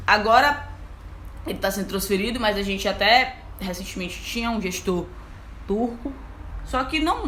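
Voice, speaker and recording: A young Brazilian woman, an engineer, talking casually to her online audience as a mentor, lively and chatty with many fillers. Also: medium pitch, slight background noise. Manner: lively, chatty, frequent fillers like "então, assim". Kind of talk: casual live talk to an audience.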